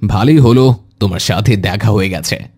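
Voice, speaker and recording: A man's voice: lowish voice, fairly quick, a little mellow, husky. A man voicing a genial older father-in-law in a cartoon, chatting casually. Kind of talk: animated cartoon dialogue.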